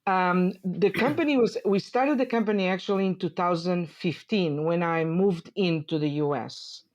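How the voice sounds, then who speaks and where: A woman's voice: on the low side, normal speed, a little mellow. A woman in her forties from Portugal with a Portuguese accent, a sheep farmer in an interview, speaking hesitantly with many fillers and restarts. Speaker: sheep dairy farmer, guest. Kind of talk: interview conversation.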